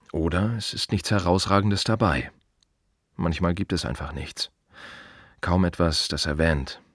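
Casting: a brooding, sardonic gravedigger, low voice with lively swings, clipped musing delivery, very dry close radio-play sound. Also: male voice, slightly rough, dry recording, exaggerated voice. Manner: wry, clipped fragments with pointed repetitions. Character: wry, brooding male gravedigger musing on the dead. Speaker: actor in a radio play monologue. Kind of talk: radio drama inner monologue.

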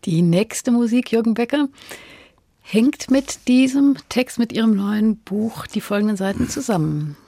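A woman interviewing thoughtfully about literature, phrasing her questions in a measured, deliberate way with pauses. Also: on the high side, dark tone, no room sound, studio quality. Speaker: radio interviewer.

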